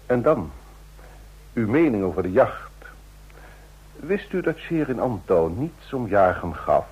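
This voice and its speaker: An actor playing a shrewd, easygoing president in an old radio drama, speaking formally and deliberately. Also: male voice, mid-range voice, breathy edge. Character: shrewd, easygoing president of a large federation, a man. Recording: muffled old radio play recording.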